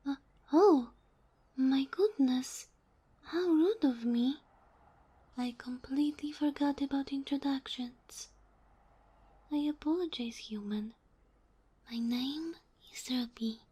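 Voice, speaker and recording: High, breathy, sweet fox-girl played by a woman. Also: takes it slowly, long pauses, full character voice. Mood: apologetic, polite. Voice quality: soft, breathy, whispery. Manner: formal, courteous, slightly bashful. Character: sweet, polite kitsune fox-girl familiar, female, ageless. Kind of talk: ASMR roleplay.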